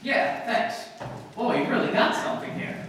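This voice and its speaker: A man's voice: normal speed, a bit bright, natural voice. A man playing a cynical, tough-talking writer in an old-time radio comedy, with a dry, sardonic delivery. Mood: wry. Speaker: actor in a live radio play. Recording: very echoey hall, distant mic, faint hiss.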